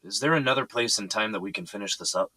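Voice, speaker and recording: A man's voice: lowish voice, quick pace, bright, forceful tone, breathy edge, no echo. An actor playing a composed, evasive but polite businessman in an audio drama. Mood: polite, strained.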